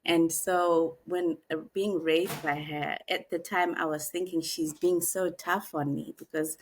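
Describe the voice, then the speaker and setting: A woman, a podcast guest telling her life story in a reflective, unhurried way with frequent pauses. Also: low-pitched, fairly even intonation, slight background noise, poor audio. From Zimbabwe. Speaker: guest, author and executive coach. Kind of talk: podcast interview, personal storytelling.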